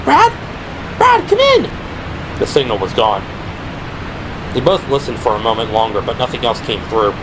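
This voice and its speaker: A man's voice: on the low side, fairly quick, pauses often. A man reading scripted dramatic narration for an audio drama. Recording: clear background noise and ambience. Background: ambient sound effects.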